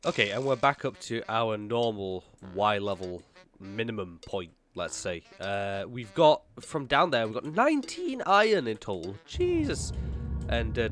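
Chatty nasal gaming YouTuber. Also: male voice, mid-range voice, huge pitch swings, slow pace, pushed and bright. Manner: chatty, jumpy, talks to himself and the game. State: slightly nasal from a cold. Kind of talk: casual gameplay commentary.

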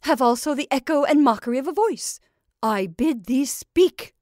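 Woman acting a loud, high-pitched domineering old witch. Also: lively intonation, unhurried, dry recording, clean studio sound. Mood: stern. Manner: emphatic, declamatory, archaic speech. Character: domineering old witch, gleefully scornful and coaxing.

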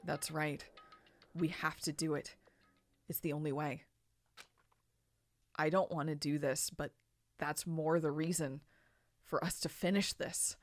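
A woman with a fairly low voice co-hosts a video game playthrough. She reads dialogue in a measured, matter-of-fact way and adds casual comments, over faint game music. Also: fairly even intonation, no room sound. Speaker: let's play co-host.

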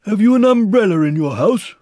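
A man's voice: on the high side, big pitch swings, measured pace, slightly rough, dry recording, clean studio sound, pitched above the performer's own voice, heavily acted. A man voicing an earnest, scheming, slightly anxious toy bear in a children's storybook, with a ponderous, solemn manner. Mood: secretive, calling out. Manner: ponderous, solemn, calling out loudly. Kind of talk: children's storybook character reading.

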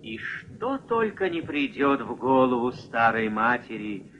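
A man narrating a fairy tale in an expressive, theatrical storybook manner. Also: medium pitch, unhurried, noisy background. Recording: dull vintage film soundtrack, music behind.

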